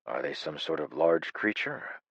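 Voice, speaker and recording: A narrator's calm, wry farmer man. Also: very deep voice, normal speed, vocal fry, breathy edge, no room sound, highs cut off, full character voice. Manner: calm, mildly amused.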